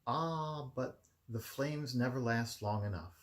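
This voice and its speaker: A man's voice: mid-range voice, slow pace, natural voice. A man voicing an imperious, gushing rich villainess in a theatrical, demanding manner for a storybook reading. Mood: gushing delight.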